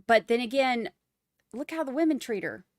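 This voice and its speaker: A woman's voice: fairly high pitch, animated, normal speed, no echo, clean studio sound. A woman with a Boston accent hosting a live trial commentary stream, chatting wryly and reading out viewer comments. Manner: chatty, wry, rambling asides.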